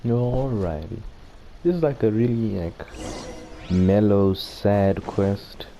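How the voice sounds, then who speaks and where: A man giving casual, low-key gameplay commentary as a gaming video host. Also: slow pace, warm tone, slight background noise, low-quality recording. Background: faint game music.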